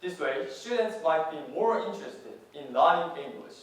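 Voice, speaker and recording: A man's voice: a little high, lively intonation, normal speed, distant mic. A man whose first language is Japanese, closing an earnest, persuasive English speech at a university contest. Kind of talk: memorized formal English speech. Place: large university hall. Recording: echoey hall, voice from afar.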